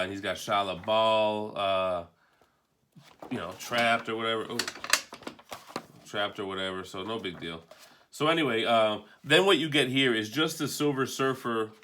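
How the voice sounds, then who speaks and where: A man's voice: on the low side, moderate pace, bright, forceful tone. A man giving an offhand, rambling, casual video review as a comic book collector, unscripted and mildly profane.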